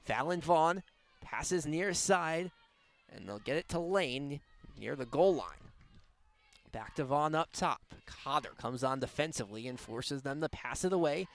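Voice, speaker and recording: A man's voice: a little high, expressive, unhurried. A young man doing live radio play-by-play sports commentary in a clipped, descriptive way. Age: young adult. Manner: clipped, descriptive call of the action. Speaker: play-by-play announcer.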